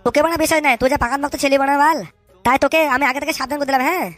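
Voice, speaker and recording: A high, extremely fast cartoon boss voice done by a performer, bullying and suspicious. The delivery hectors in coarse slang, full of curses and tag questions, over background music. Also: steady tone, a bit bright. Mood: angry, suspicious. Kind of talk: comic cartoon dub with street slang.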